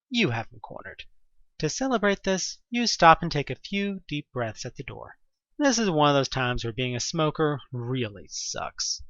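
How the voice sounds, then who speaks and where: Story narrator, scripted second-person delivery, animated intonation, mid pitch, lo-fi. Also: no room sound.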